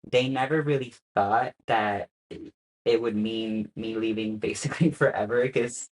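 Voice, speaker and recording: A man's voice: lowish voice, measured pace, poor audio. Young man telling his story. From Spain. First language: Spanish. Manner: relaxed, hesitant with frequent "uh" fillers and soft laughs. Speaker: guest. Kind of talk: casual interview chat.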